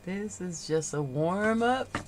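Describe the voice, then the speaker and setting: Slow, stuffy-nosed woman crafting. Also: lowish voice, animated, slight background noise. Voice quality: slightly nasal. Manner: unhurried, talking through each step while working. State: stuffy nose from a cold. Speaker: craft livestream host. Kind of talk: relaxed crafting livestream chat.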